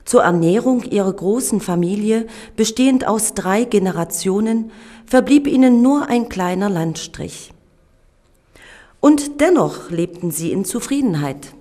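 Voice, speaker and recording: A woman narrating a documentary in a measured, solemn reading voice. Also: mid-range voice, lively intonation, dark tone.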